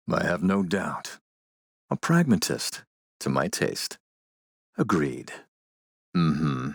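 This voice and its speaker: Low-voiced ruthless warlord guy, courtly and menacing, slow talker, game dialogue. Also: no room sound, studio quality, full character voice. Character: ruthless, suave, middle-aged warlord talking business. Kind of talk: video game character voice acting.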